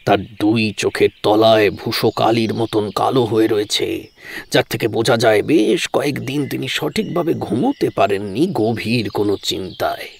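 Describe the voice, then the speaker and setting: A man's voice: lowish voice, moderate pace, creaky, a little hiss or hum. A man telling a scripted first-person story as a dramatic narrator, in a formal, literary and theatrical manner.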